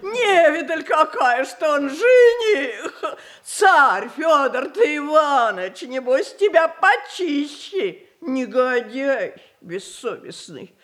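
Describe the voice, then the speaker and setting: A woman's voice: high voice, sing-song, takes it slowly, few pauses, fairly bright tone, exaggerated voice. An actress playing a brazen, folksy matchmaker woman in a radio drama, mocking and scolding. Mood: mocking abuse. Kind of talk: Russian classical stage acting, radio play.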